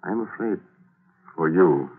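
An actor playing an obsessed scientist speaking in an earnest, intense way in a 1940s radio drama. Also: male voice, low voice, expressive, slow pace, full character voice. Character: obsessed middle-aged male scientist. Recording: tinny old radio broadcast.

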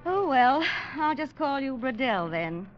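An actress playing a flirtatious, self-assured ship passenger in a 1940s radio drama, breezy and teasing. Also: female voice, high voice, big pitch swings, a little slow. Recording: muffled, noisy old radio recording.

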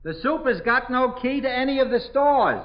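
An actor playing a patient, reassuring policeman in a 1950s radio drama, plain-spoken and steady. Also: very high-pitched, normal speed. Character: patient, reassuring male policeman on night duty. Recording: dull 1950s radio broadcast recording.

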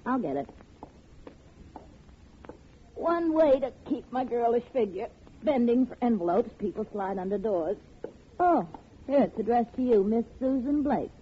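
A woman's voice: on the high side, expressive, a little slow, long pauses, slight background noise. An actress playing a worldly, slangy, wisecracking chorus girl in a 1940s radio drama. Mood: wry, teasing. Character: wisecracking, worldly-wise chorus girl, older than her roommate. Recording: muffled old radio broadcast recording.

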